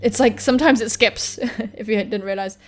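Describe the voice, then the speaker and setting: A woman's voice: a little high, normal speed, soft background music. A woman doing let's-play commentary, reading a visual novel aloud and joking crudely with plenty of swearing. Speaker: gaming video host.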